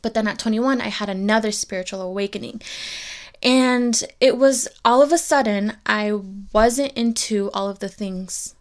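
A woman's voice: fairly high pitch, moderate pace. A woman in her twenties hosting a podcast, telling a personal story in a candid, reflective way and speaking to her listeners directly. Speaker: podcast host.

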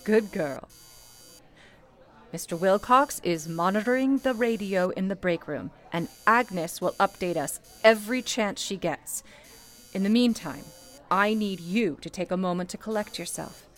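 A woman's voice: medium pitch, natural voice. A woman playing a composed, motherly switchboard supervisor, formal and authoritative, in an old-time radio play. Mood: earnest, motherly. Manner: formal, measured, authoritative, coaxing.

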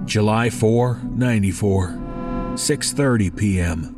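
Male actor as terse log-keeper for horror audio drama: very slow, clipped, matter-of-fact date stamps under loud music. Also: on the low side. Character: terse male log-keeper announcing journal dates and times.

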